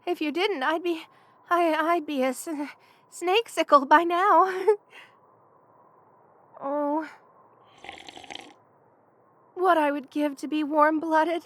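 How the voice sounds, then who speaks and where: A woman voicing a young lamia best friend in an intimate ASMR roleplay, affectionate and hesitant, with a soft-hearted manner. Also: sits high, steady tone, slow, long pauses. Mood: cosy, grateful.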